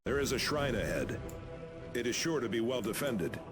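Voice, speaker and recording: A man playing a shrewd, resolute space marine advisor in a video game, steady and tactical. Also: medium pitch, moderate pace, close to an everyday voice. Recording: voice under loud music.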